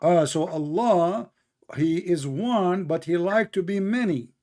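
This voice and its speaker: High-pitched, confrontational man with Arabic accent. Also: slow pace, a little mellow. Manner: confrontational, mocking, insistent questioning. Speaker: debate host. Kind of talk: heated religious debate.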